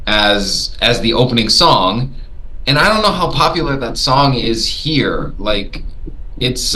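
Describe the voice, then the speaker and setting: A man from Tanzania co-hosting a casual movie discussion, hesitant and repeating words as he thinks. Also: rough recording. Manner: hesitant, repeats words, lively rises in pitch. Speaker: podcast co-host.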